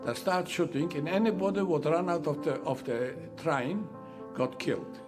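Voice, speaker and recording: Elderly Polish-born man, halting recall. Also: on the high side, lively intonation. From Poland. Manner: halting, restarts phrases as he recalls. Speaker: Holocaust survivor giving testimony. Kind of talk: recorded testimony interview. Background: soft guitar music.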